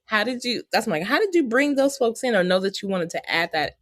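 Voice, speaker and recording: A woman's voice: a little high, expressive, brisk, no echo, studio quality. A woman hosting a casual podcast interview, encouraging her guest with short reactions and questions.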